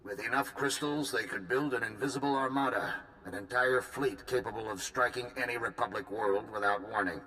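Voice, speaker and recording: A man's voice: mid-range voice, fairly quick, few pauses, bright, forceful tone, a little hiss or hum, natural voice, poor audio. An actor playing a male supreme commander giving a formal, urgent mission briefing in a video game cutscene. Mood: earnest. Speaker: military commander.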